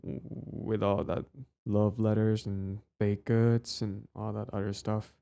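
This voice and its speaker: A man's voice: on the low side, level tone, takes it slowly, creaky, dark tone. A young man acting a possessive, lovestruck bully in an intimate boyfriend audio roleplay, speaking earnestly with hesitant stammers. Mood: tender, confessing.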